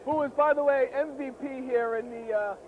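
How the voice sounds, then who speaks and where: High-pitched hoarse guy calling a basketball final, sounds tinny like a phone, lots of noise behind. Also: moderate pace. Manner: excitable, emphatic. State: hoarse, losing his voice. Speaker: play-by-play commentator. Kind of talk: amateur league courtside commentary. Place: courtside at a basketball game. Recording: tinny, noisy outdoor recording.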